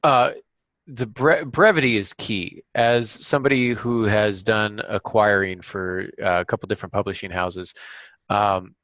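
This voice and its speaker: Male host, lower register, conversational, thinks aloud in halting phrases, close dry recording. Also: steady tone, a bit bright, no echo. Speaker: podcast host. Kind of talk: casual podcast chat.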